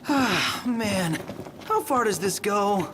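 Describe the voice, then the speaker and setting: An actor playing an excitable, boyish young sidekick in a video game, playful, whiny and full of exclamations. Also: male voice, high-pitched, takes it slowly, background noise. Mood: weary, whiny. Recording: music behind. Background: clear game music.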